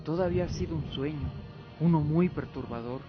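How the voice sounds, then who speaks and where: A man's voice: fairly high pitch, fairly quick. Male narrator for fantasy radio drama, dramatic scripted storytelling, a little muffled, music behind. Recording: dull lo-fi with music underneath.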